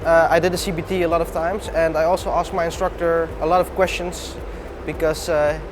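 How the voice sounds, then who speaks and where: A man in his thirties, from the Netherlands, speaks a little high, earnest and paced by uhs. Clear background noise runs behind him. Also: little pitch movement, a little fast. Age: 30s. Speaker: pilot trainee. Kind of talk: interview testimonial.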